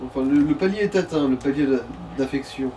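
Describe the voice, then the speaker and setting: A man's voice: mid-range voice, fairly quick, dark tone. A man doing casual, wry and jokey co-commentary on a video game. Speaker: let's play co-commentator. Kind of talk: casual joking gaming commentary. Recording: distant mic with faint noise.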